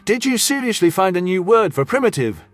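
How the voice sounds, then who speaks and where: A man's voice: sits high, big pitch swings, a little fast, soft background music, voice pushed up. A man voicing a brash, indignant male commanding officer with blunt, slangy, sarcastic speech in a sci-fi audio story.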